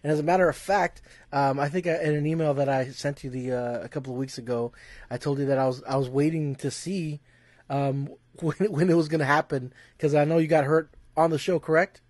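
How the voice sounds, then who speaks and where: A man's voice: on the high side, fairly even intonation, brisk, darkish tone, dry recording. A man hosting a casual interview, asking questions in a hesitant, conversational way. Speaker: interviewer, host.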